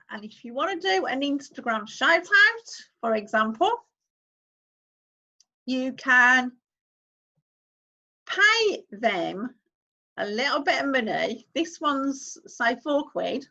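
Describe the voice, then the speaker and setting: A woman's voice: high voice, sing-song, slow, long pauses, sharp tone, lo-fi sound. A woman, hosting a casual screen-share tutorial, chatting as she clicks through steps and reads on-screen text aloud. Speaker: online-income YouTuber host.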